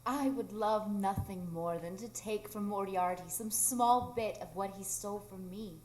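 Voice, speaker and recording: A woman's voice: medium pitch, moderate pace, a little mellow, natural voice. Actress as a wistful wronged woman, echoey stage. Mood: wistful, bitter. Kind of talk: live radio drama acting. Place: small improv theatre stage. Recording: roomy, noisy live stage recording.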